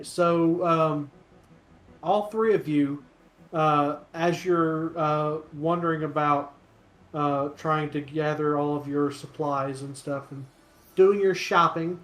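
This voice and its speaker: Halting male game master, roomy echo. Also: fairly high pitch, fairly bright tone. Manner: halting, hesitant. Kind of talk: narrating a tabletop role-playing game.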